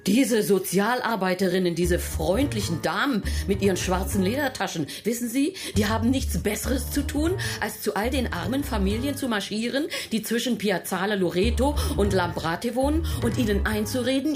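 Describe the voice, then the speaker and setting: A woman's voice: medium pitch, natural voice. An actress playing a middle-aged, plain-spoken school caretaker in a radio crime drama, scornful and indignant as she speaks.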